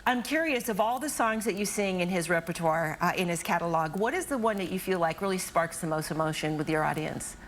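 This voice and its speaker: A woman's voice: fairly low pitch, expressive, a little fast, hardly any pauses, a little hiss or hum. A woman hosting a TV show in a studio, asking her guest questions in a friendly, curious way. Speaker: TV show host. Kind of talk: TV studio interview.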